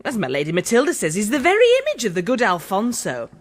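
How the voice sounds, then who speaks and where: An actress playing an excitable, gossipy young castle maidservant in a radio drama, chattering in a breathless, melodramatic way. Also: female voice, medium pitch, fast. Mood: coy, gossipy.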